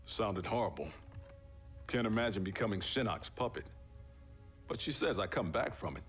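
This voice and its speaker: A man's voice: fairly low pitch, animated, moderate pace, a bit bright, soft background music. A man with an American accent acting a tough, sincere young soldier in a video game cutscene. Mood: reflective.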